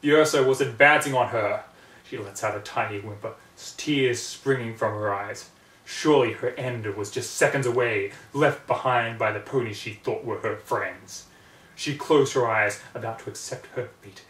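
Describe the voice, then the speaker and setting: A man narrates a story in a dramatic, expressive way with halting pauses. His voice sits at a middling pitch, a little away from the mic in an echoey room. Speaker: reader of fan fiction. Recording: roomy, distant mic, background noise.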